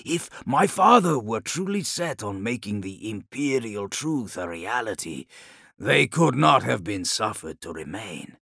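Man voicing a slow, brooding warlord khan, gravelly. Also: lowish voice, sing-song, sharp tone, no echo, studio quality, exaggerated voice. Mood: grave, measured. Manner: measured, deliberate, formal phrasing.

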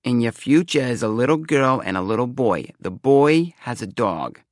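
A man's voice: mid-range voice, lively intonation, no echo, clean studio sound, pitched above the performer's own voice, natural voice. A man voicing a theatrical middle-aged woman fortune-teller with a thick Eastern European accent, portentous and insistent, in an audiobook. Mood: foreboding. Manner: portentous, dramatic pauses. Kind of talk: audiobook character acting.

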